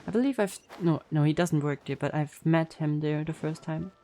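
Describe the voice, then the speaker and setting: Low, mellow-voiced woman streamer. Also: moderate pace, faint music behind. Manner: informal, joking, thinking aloud. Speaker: game streamer. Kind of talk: casual reaction commentary during gameplay.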